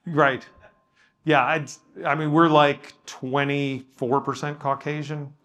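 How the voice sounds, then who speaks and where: A man's voice: on the high side, flat delivery, slow, fairly bright tone. A man leading a workshop discussion as a pastor, talking casually and modestly, with frequent ums and restarts in front of a live audience.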